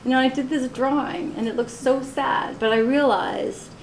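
A woman in her forties giving a gallery talk about her own artworks, candid and quick to laugh, with many "you know"s. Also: high-pitched, fairly even intonation. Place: college art gallery. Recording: roomy live recording with faint noise.

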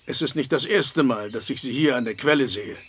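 An actor playing a strict, troubled farmer and head of household, formal and plain-spoken, in a German audio drama. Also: male voice, medium pitch, brisk, fairly bright tone, husky. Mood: strict, grave.

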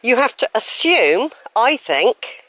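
A woman's voice: a little high, sing-song, takes it slowly, fairly bright tone, a little hiss or hum, low-quality recording. A woman chatting to the camera as she walks a hillside trail, musing aloud and questioning which way to go, with sighs between her remarks. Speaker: hiking vlogger. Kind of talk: chatty talk to camera while walking. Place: outdoors on a hillside countryside trail. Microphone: handheld camera.

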